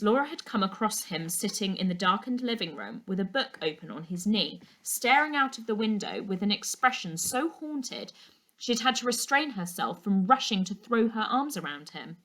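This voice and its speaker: A woman teacher reading a storybook aloud to children, with clear, expressive storytelling. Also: mid-range voice. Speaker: primary school teacher reading a class book aloud.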